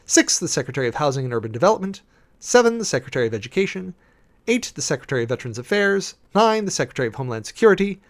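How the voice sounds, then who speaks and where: A man's voice: a little high, very animated, racing. A man giving a theatrical, emphatic dramatic reading of a formal document. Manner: theatrical, emphatic, enumerating items with swooping emphasis. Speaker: preacher doing a dramatic reading with commentary.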